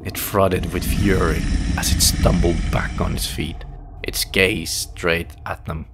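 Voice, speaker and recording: A man's voice: sits low, little pitch movement, takes it slowly, vocal fry, heavy background noise. A man narrating a scripted fantasy audio play as a dramatic storyteller. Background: music.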